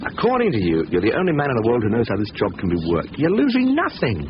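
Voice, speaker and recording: An actor playing a wily, coolly persuasive crook with a curt, dry, commanding manner in a 1970s British radio comedy thriller. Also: male voice, medium pitch, wildly swooping pitch, quick pace. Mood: bossy. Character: bossy, wily small-time crook, male, coolly persuasive. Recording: muffled, noisy old radio broadcast.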